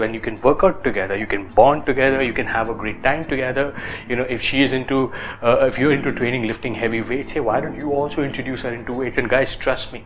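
A man in his thirties with an Indian accent, talking casually to camera about fitness with lots of fillers and lively gestures. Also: medium pitch. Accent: Indian English. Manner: animated, gestures with both hands, many fillers. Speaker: fitness influencer.

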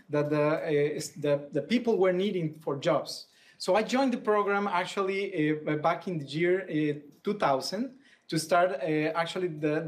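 A man in his forties with a Costa Rican Spanish accent, explaining semi-formally and hesitantly on a video call. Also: high voice. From Costa Rica. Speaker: technical manager, panel guest. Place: small plain office room, indoors, on a video call.

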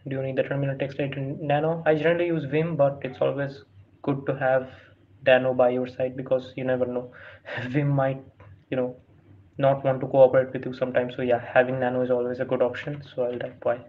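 Man, non-native english speaker, flat monotone, hesitant, lo-fi muffled desktop mic. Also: medium pitch, brisk. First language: not English. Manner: hesitant, many fillers and restarts, reads prompts aloud. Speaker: tutorial host. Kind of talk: screen-recorded tutorial walkthrough. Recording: dull lo-fi sound with faint hiss.

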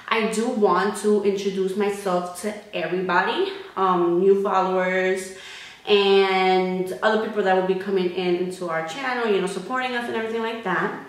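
A young woman in her twenties chats straight to camera in a lively, expressive way, now and then slipping Spanish words into her English. Her mid-pitched voice bounces around a bare, very echoey room, picked up by a camera mic at desk distance. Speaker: vlogger. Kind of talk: casual chat to camera.

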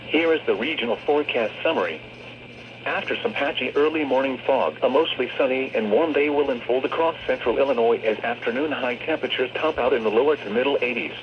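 A man's voice: mid-range voice, brisk. A synthetic text-to-speech man reading scripted weather forecasts as an automated radio announcer, in a stilted delivery. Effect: synthetic voice over weather radio. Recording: muffled, noisy weather radio speaker.

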